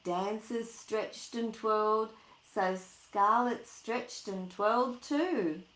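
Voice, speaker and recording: A woman reading a picture book aloud to children, chatting informally and putting expressive, singsong emphasis on the words. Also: mid-range voice, slow pace. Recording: echoey room.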